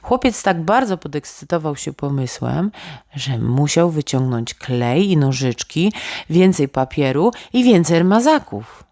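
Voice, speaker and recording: A woman's voice: low voice, very animated, a little slow, a little mellow, no room sound, clean studio sound. A woman reading a children's bedtime story as a scripted narrator.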